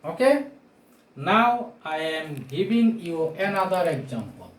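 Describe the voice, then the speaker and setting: Slow, swooping male teacher, non-native English. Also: fairly high pitch, big pitch swings, noisy background. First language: not English. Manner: deliberate, repeats key phrases, projected classroom delivery. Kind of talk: whiteboard grammar lesson, explanatory with rhetorical questions. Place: small indoor room with bare walls, at a whiteboard. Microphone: camera or phone mic a few steps away. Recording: roomy echo, distant camera microphone.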